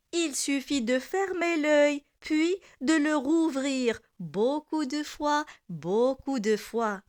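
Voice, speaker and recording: A woman reciting a children's poem in a deliberate, measured manner, with pauses between phrases. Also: high-pitched. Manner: deliberate, with repeated lines and pauses. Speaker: poem reciter for primary school learners.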